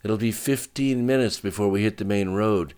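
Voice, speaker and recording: A man's voice: on the low side, moderate pace, darkish tone, clean tone, voice pushed up, close to an everyday voice. A man voicing a wounded woman in an audiobook, weak, pained and fading. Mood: urgent. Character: wounded woman, weakening, drowsy, in pain.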